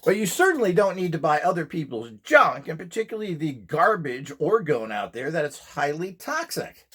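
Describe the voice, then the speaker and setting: A man with a slightly high voice and very lively, swinging intonation gives an informal instructional demonstration. He is blunt and opinionated, pressing his points with emphasis. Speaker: instructor selling courses and devices.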